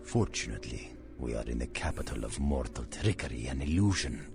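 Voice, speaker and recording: An actor playing an ancient, cultured vampire monster in a video game, speaking with theatrical menace, formal archaic phrasing and a breathy, whispering rasp. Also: male voice, rumbling low, animated, fairly quick, noisy background, exaggerated voice. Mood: grandly courteous.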